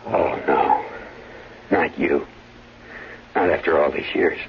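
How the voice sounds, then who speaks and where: An actor playing a weary, anguished husband, speaking in a grave, clipped way in a 1970s radio drama. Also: male voice, very deep voice, fairly even intonation, takes it slowly, vocal fry, heavily acted. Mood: dismayed, firm. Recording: dull 1970s radio recording, faint noise.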